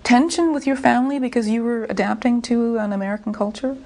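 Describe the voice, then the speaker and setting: A woman's voice: fairly high pitch, fast talker, vocal fry, a little mellow. A woman working as a news interviewer, asking unscripted follow-up questions.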